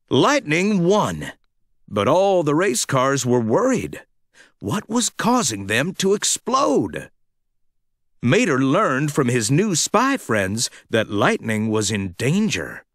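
A man narrating a scripted children's read-along storybook with a dramatic, expressive delivery. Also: fairly high pitch, slow pace, dry recording, clean studio sound.

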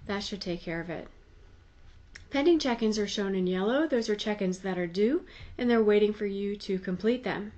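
A woman's voice: mid-range voice, moderate pace, darkish tone, highs cut off. A woman giving a conversational, explanatory tutorial voice-over in a plain-spoken, instructive manner. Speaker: tutorial presenter.

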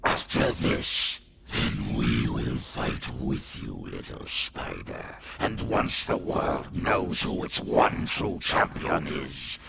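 A man playing a huge, menacing alien symbiote in a video game, with a guttural, deliberate growl. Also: a bass voice, very animated, gravelly, exaggerated voice. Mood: grudging menace. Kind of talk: video game cutscene acting. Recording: loud noisy ambience behind voice.

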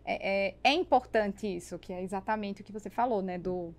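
A woman's voice: mid-range voice, brisk. A woman in her thirties with a Brazilian accent, telling stories conversationally as a podcast guest, close on the mic. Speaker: podcast guest, business networker. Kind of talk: animated conversational storytelling. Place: small foam-treated podcast studio. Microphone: close podcast microphone on boom arm.

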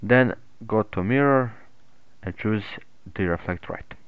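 A man narrating an unscripted software tutorial in a halting, hesitant way. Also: on the low side, clear, resonant tone. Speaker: tutorial host. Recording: muffled phone-like narrow-band audio.

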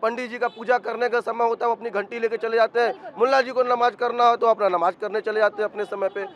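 A man's voice: extremely high pitch, steady tone, fast. A man in his thirties with an eastern Uttar Pradesh accent, speaking emphatically as a street interviewee. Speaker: local interviewee. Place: outdoors, seated by a street wall. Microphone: handheld reporter's microphone.

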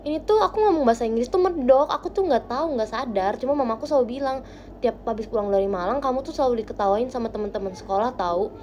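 A young woman hosting a casual livestream from her bedroom, chatting with viewers into a phone held close. She talks playfully, with quiet murmurs that jump into bright, lively bursts. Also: fairly high pitch, a little hiss or hum. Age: young adult. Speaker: idol livestream host. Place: small bright bedroom indoors. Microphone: phone camera close to face.

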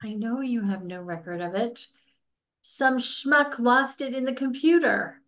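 A woman's voice: a little high, a little slow, a bit bright. A woman in her forties playing an exasperated, persistent licence applicant in a staged comedy reading, with a plaintive manner. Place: indoors, on a video call.

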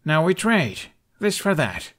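A man's voice: fairly high pitch, very animated, a little slow, a bit bright, pitched above the performer's own voice. A man voicing an embittered, sarcastic young male Viking dragon rider in an audiobook story. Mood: firm. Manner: biting, sarcastic.

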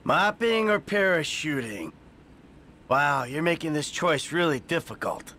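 Jaded American criminal, lively pitch. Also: male voice, measured pace, slight background noise. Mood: dry, sarcastic. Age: middle-aged. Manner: dry, sarcastic, blunt. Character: jaded, sardonic middle-aged male career criminal. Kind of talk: video game cutscene acting.

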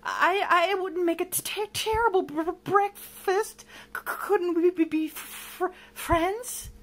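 A woman voicing a timid, lonely, gentle monster in a storybook, plaintive and hesitant. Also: fairly high pitch, very animated, normal speed. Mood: frightened, pleading.

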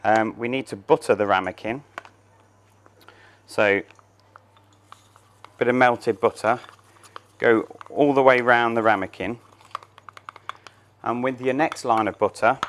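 A man's voice: medium pitch, slow, pauses often, fairly bright tone. A man in his thirties, a chef, talking through a cooking demonstration step by step in a large showroom kitchen. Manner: plain, step-by-step explaining while working.

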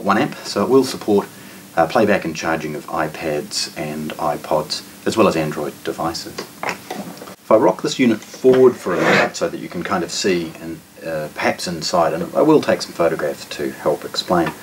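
Low-voiced New Zealand man, distant mic. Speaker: shop presenter. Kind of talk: unscripted product walkthrough. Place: indoor audio showroom. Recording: distant mic with background noise.

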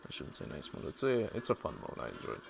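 Male streamer, hoarse from talking, fry-heavy low voice, animated delivery, noisy mic. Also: fast talker. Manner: reads chat aloud and answers viewers. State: losing his voice, hoarse. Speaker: game streamer. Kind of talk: casual live-stream commentary.

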